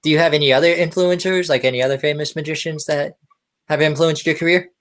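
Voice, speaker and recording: A man's voice: fairly high pitch, fairly even intonation, quick pace, a bit bright. A man hosting a podcast interview in a casual, chatty style, repeating short affirming phrases.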